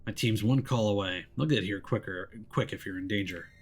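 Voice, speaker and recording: A man voicing a gruff, weary paranormal detective, terse and dryly sardonic, for a story game. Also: lowish voice, a little fast. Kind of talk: visual novel character voice.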